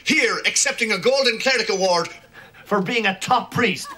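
An actor playing a vain middle-aged priest, talking in a pompous, self-pleased way. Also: male voice, high-pitched, normal speed, sharp tone. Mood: gloating. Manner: pompous, score-settling speechmaking. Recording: sitcom audio with noisy background.

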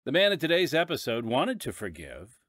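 A man's voice: mid-range voice, wildly swooping pitch, fairly quick, background noise. A man hosting and narrating an audio drama podcast in a scripted storytelling style. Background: music under the voice.

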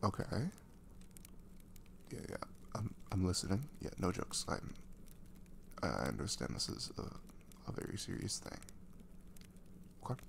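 A soft, breathy young boyfriend played by a man murmurs in an ASMR roleplay with a lowish, slow delivery. He is affectionate, teasing and a little dorky, halting as he talks. There is faint noise behind him. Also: very animated, exaggerated voice. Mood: nervous, earnest. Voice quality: soft, breathy, often near a whisper.

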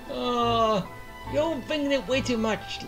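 A woman's voice: fairly high pitch, takes it slowly, low-quality recording. A woman giving casual let's play commentary as she reacts to a game's story. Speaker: game player commenting on story. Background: game music.

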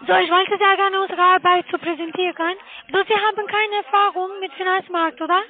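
A woman with a foreign accent and non-native, halting German, giving a sales pitch as a cold caller over the phone. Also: steady tone. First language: not German. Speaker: cold caller selling online investments. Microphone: telephone call. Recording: muffled narrowband phone line.

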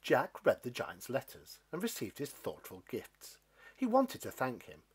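Male narrator for children's picture books: slow, expressive, highly varied pitch. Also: slightly rough. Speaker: storybook reader.

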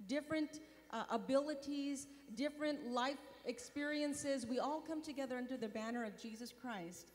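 A middle-aged woman on a convention stage telling a mission testimony into a handheld mic, animated and gesturing. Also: on the high side. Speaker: mission volunteer and board member. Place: large convention hall stage. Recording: long hall reverb.

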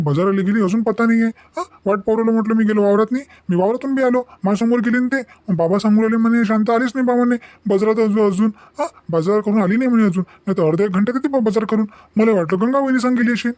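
A man's voice: high-pitched, fast talker, few pauses, a little mellow, dry recording, lightly acted. A man voicing a worried village farmer and husband in a cartoon, plain-spoken. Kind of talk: Marathi cartoon comedy dialogue.

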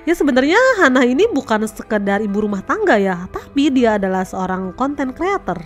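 Chatty young woman, high voice. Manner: chatty, addresses viewers as guys. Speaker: host. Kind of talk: casual storytelling commentary. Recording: faint background music.

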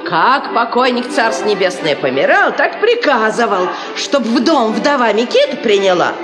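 A woman's voice: on the high side, sing-song, normal speed. An actress playing a wily, wheedling peasant matchmaker woman with glib, folksy speech in an old Soviet radio play. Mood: insistent. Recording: roomy old radio recording with music.

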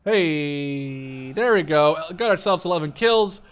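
A man's voice: a little high, expressive, takes it slowly, smooth. A man in his twenties giving casual let's play commentary, rambling and repeating himself, with casual swearing. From Netherlands. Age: 20s. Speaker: gaming video host.

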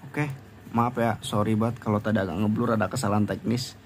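A man's voice: fairly low pitch, little pitch movement, brisk, slight background noise. A young man with a Jakarta accent giving a casual, unscripted how-to in informal slangy talk. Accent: Jakarta Indonesian. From Indonesia. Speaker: motovlogger giving a DIY tutorial. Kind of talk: casual unscripted tutorial chat.

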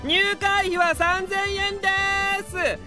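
Sarcastic, high-voiced teenage boy. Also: slow, over music. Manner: casual, sarcastic, expressive. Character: sardonic, put-upon male high school student. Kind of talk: Japanese audio drama acting.

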